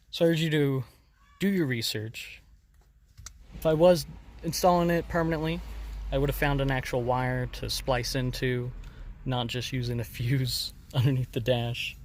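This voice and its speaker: A man hosting a hands-on DIY tutorial, explaining each step in an informal, casual way. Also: medium pitch. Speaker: host of a DIY installation demonstration. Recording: noticeable background noise.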